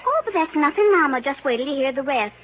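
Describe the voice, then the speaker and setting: A woman's voice: sits high, expressive, quick pace. An actress playing an eager, chattering little girl in 1930s radio drama, telling tales in childish, insistent run-on sentences. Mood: eager tattling. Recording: tinny, hissy old 1930s radio recording.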